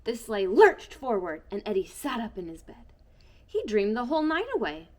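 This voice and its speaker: A woman reading a children's storybook aloud, expressive and shifting between hushed and bright tones. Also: fairly high pitch, normal speed. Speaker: storybook reader. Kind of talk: children's book read-aloud.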